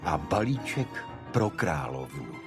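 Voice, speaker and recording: A man reading a detective story as an audiobook narrator, in a scripted literary style with a husky voice. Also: very deep voice, sing-song, over loud music.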